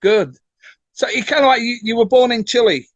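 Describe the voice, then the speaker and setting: A man hosting a casual interview, speaking informally and a little haltingly. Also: extremely high pitch, no room sound, highs cut off. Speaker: interviewer, host.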